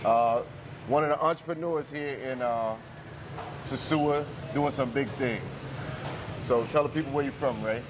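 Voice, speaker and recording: A man's voice: mid-range voice, measured pace. A man hosting a casual, informal interview in a laid-back way. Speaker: video host interviewer. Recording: noisy outdoor recording with traffic.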